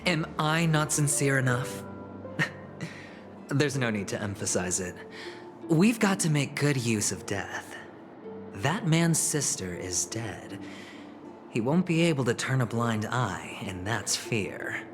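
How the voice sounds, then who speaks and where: Smug, theatrical young gambler, sweeping pitch. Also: male voice, sing-song, slow. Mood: cocky, coolly calculating. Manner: teasing, dramatic, breaks into hushed asides and soft chuckles. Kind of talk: video game voice acting. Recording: game music under the voice. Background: game music.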